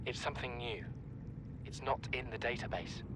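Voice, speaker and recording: A man portrays a studious young scientist son with a mid-pitched voice. He is earnest and pleading, acting in a dramatic game with clear ambient noise behind him. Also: moderate pace, natural voice. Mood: grave, earnest. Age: young adult.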